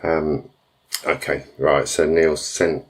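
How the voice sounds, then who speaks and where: Male stream host, deep voice, casual and rambling, hesitant delivery. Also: little pitch movement, slow. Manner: rambling, hesitant, many fillers and restarts. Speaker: tuning shop host answering viewer questions.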